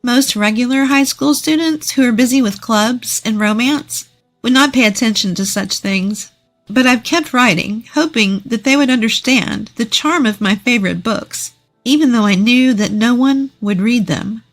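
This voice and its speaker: A woman's voice: on the high side, normal speed, soft background music, low-quality recording. A woman narrating a scripted first-person story.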